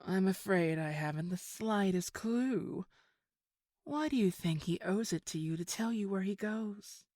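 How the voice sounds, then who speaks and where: A woman's voice: medium pitch, a little slow, darkish tone, no echo, studio quality, lightly acted. An actress playing a sly villainous woman in an audio drama, condescending with feigned sweetness. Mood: sweetly menacing. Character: sly, scheming villainous old flame, woman. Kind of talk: scripted audio drama acting.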